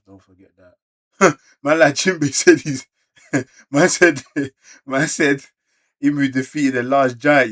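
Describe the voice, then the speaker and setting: Male reaction creator, London British accent, casual and slangy, slightly rough-edged voice, excitable outbursts alternating with muttered comments. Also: medium pitch. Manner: slangy, animated exclamations mixed with muttered asides. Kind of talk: casual manga read-along reaction.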